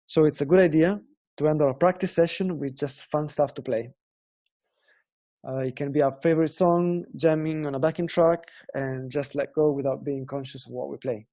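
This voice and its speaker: A man's voice: on the high side, fairly even intonation, moderate pace, dark tone. A young man from Italy with an Italian accent, teaching guitar in an earnest, explanatory way and often asking viewers questions. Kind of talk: online guitar lesson talk.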